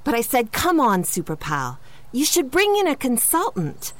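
An exasperated woman explains things in a comedic audio drama. The voice is fairly high and very lively. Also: moderate pace.

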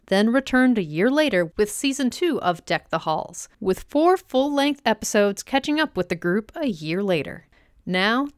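A woman's voice: mid-range voice, smooth, no echo, clean studio sound. A woman podcast host reading a scripted introduction to a show.

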